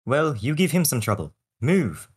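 A man's voice: mid-range voice, sing-song, moderate pace, husky. A man playing a shifty, guarded chief enforcer with a dry manner in a screenplay table read. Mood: gruff order.

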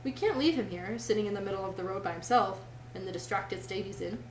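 A woman's voice: medium pitch, fast talker, roomy sound, recorded from a distance, slight background noise, lightly acted, rough recording. A woman voicing an anxious, caring male mole in a storybook, pleading with worry. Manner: worried, pleading.

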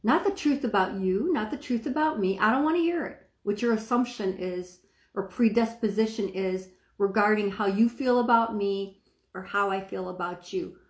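A middle-aged woman with a soft speaking voice, preaching casually to a phone camera and checking that listeners follow her. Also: mid-range voice, normal speed, echoey room. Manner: chatty, asks the viewer questions, stretches words for emphasis. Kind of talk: informal sermon to camera. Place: small room indoors, seated.

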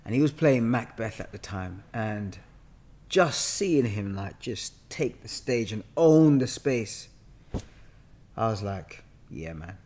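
A man's voice: fairly low pitch, expressive, takes it slowly, darkish tone. A man in his thirties with a London accent, telling stories casually and colloquially as an interview guest on a podcast microphone. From London, England. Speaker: guest actor. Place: bright indoor room with sofa. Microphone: podcast microphone on boom arm.

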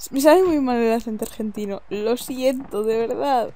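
A woman's voice: a little high, dark tone. A young woman with an accent from Spain, doing casual, self-deprecating gameplay commentary with informal swearing. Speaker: gaming video host. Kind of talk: casual gameplay commentary, reading game dialogue aloud. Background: game music.

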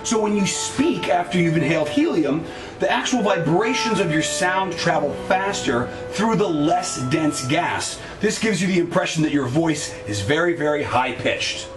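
A man in his thirties with a high voice hosts a science demonstration. He talks like a showy announcer to the camera. The sound is echoey, with music behind him. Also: lively intonation, normal speed, distant mic. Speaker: science show presenter. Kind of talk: upbeat scripted demonstration to camera. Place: open garage, standing back from camera.

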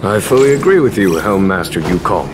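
Lowish-voiced, composed general. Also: male voice, expressive, over music. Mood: gently diplomatic. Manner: courteous, unhurried. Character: easygoing, courteous, composed male general. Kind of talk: video game voice acting.

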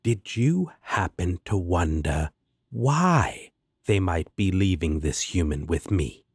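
Dude doing a sarcastic fed-up demon, deep voice, real slow, fantasy roleplay. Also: male voice, lively intonation, breathy edge, dry recording, heavily acted. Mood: challenging. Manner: sarcastic, dry, blunt. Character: sardonic, exasperated, protective male demon roommate. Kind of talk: fantasy audio roleplay acting.

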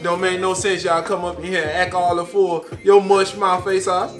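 High-voiced young barber teasing customers. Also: male voice, level tone, normal speed, soft background music. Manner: scolding, teasing insults. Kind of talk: scripted comedy banter. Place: barbershop, indoors.

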